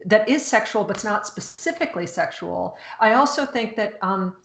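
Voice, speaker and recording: A woman's voice: mid-range voice, expressive, vocal fry, a bit bright. Chatty woman host in an echoey room. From United States. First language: Czech. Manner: halting, many restarts and fillers. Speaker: host, author. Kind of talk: casual conversation.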